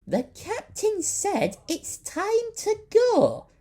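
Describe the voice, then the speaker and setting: A man voicing a concerned female walking stick in a high, slow voice. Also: wildly swooping pitch, creaky, warm tone, husky, exaggerated voice.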